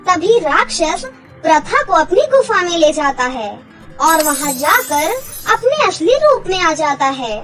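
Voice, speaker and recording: A woman's voice: very high-pitched, very animated, unhurried, a bit bright, soft background music. A woman narrating a scripted children's story as the storyteller.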